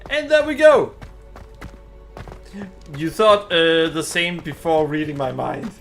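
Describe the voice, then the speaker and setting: A man's voice: a little high, sing-song, slow pace, gravelly, a bit bright. A man doing casual let's play commentary, rambling as he thinks aloud. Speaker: gaming streamer. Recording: faint game music behind.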